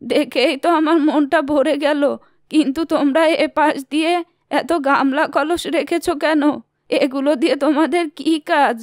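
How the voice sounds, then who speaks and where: Woman playing a high-voiced, kindly old wandering woman. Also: steady tone, normal speed, dry recording, studio quality, close to an everyday voice. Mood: grateful. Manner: gentle, blessing. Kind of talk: cartoon moral story voice acting. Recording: music behind the voice.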